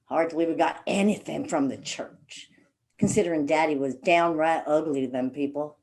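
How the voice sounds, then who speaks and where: A woman's voice: low voice, normal speed, darkish tone. A woman acting a wistful, plain-spoken hill-country sister in a stage play, with an Appalachian accent. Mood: matter-of-fact. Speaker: actor in a one-act play. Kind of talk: stage play dialogue, reminiscing.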